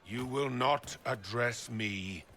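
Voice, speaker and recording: A man's voice: medium pitch, slow, a crowd in the background, close to an everyday voice. A man playing an arrogant, zealous templar commander in a fantasy game, haughty and scornful in his declamation. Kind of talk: fantasy game villain acting.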